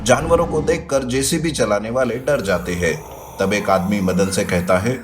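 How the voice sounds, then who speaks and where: A man's voice: on the low side, moderate pace, a little hiss or hum. A man reading scripted narration for a children's story as the storyteller. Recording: loud music behind voice.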